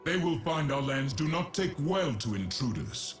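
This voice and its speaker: Stern warrior in echo. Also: male voice, fairly high pitch, very animated, normal speed. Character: stern, solemn male warrior warning invaders. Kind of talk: video game character voice acting. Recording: roomy echo, music behind.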